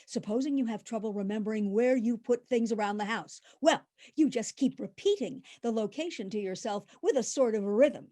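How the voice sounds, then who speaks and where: Woman acting high-voiced, dithery elderly landlady. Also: moderate pace, few pauses, warm tone, close to an everyday voice. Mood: earnest, helpful. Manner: forgetful, rambling, earnest. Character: dithery, absent-minded elderly landlady, kindly and chatty. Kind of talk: old-time radio comedy acting.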